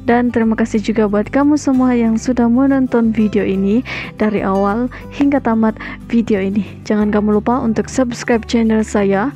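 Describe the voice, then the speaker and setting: A young woman with a Sabahan Malay accent chats through her reaction to a clip, slipping English words in here and there. Her voice sits a little high but sounds mellow and warm. Faint music plays beneath her. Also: steady tone, moderate pace, muffled recording. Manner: chatty, mixes in English phrases. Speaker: reaction video host. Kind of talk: casual reaction commentary.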